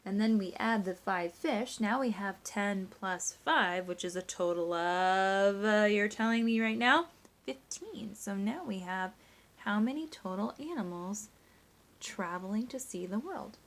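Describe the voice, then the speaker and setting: Female teacher, mid-pitched voice, expressive read-aloud, step-by-step explaining, questions to young listeners. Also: a little slow. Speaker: teacher reading a picture book aloud to pupils. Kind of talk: expressive storybook read-aloud mixed with simple math teaching.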